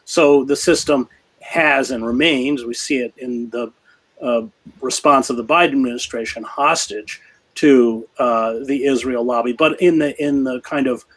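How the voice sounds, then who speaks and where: A man of middle pitch talks through a serious argument at length. He often pauses and restarts mid-thought, with many filler sounds. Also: a bit bright, poor audio. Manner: grave, measured argument. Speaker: journalist guest. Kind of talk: interview answer.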